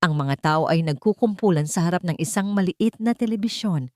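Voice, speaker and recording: A Filipino woman storyteller with a fairly low voice. She delivers scripted, dramatic narration with a Filipino accent. The sound is dry, with no room around it. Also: expressive, brisk, a little mellow, no room sound.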